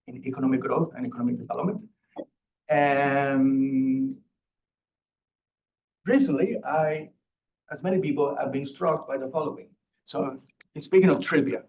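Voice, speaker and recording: Lively Puerto Rican-accented man. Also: fairly high pitch, slight background noise, low-quality recording. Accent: Puerto Rican Spanish. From Puerto Rico. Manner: lively, jokey, quizzes the audience. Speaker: professor on a panel. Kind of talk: panel talk.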